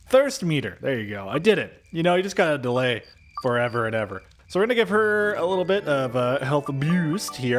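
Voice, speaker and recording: A man's voice: mid-range voice, a little hiss or hum. A man hosting a let's play with casual, rambling commentary and jokey exaggeration.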